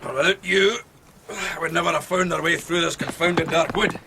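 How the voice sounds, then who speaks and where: An actor playing a hearty, seasoned Scottish soldier in a radio drama, genial and formally courteous. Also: male voice, fairly high pitch, fairly even intonation, normal speed, vocal fry, noisy background.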